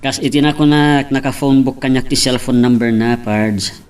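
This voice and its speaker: A man acts as a young Ilocano buddy and friendly romantic rival in an audio drama. His voice is medium in pitch and heard slightly off the microphone. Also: level tone, normal speed, darkish tone, smooth, far from the mic, natural voice. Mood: casual. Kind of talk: Ilocano radio-style love drama acting.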